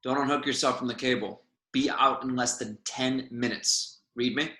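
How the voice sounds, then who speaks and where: A man playing a steady, commanding spaceship captain in an amateur table read, giving clipped orders. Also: medium pitch, moderate pace, echoey room, close to an everyday voice. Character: steady, commanding spaceship captain exploring a derelict alien ship.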